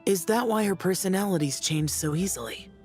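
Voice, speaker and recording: A woman voicing a stoic, youthful male immortal warrior in a video game, husky, solemn and measured, with formal phrasing. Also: lowish voice, darkish tone, faint music behind, natural voice. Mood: solemn, reflective.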